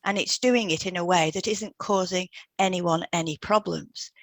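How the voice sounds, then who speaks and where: A woman co-hosting an educational podcast, reading scripted explanations in a measured, explanatory way. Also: on the low side, steady tone, no room sound. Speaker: podcast co-host, hypnotherapist. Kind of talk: scripted podcast discussion, read aloud.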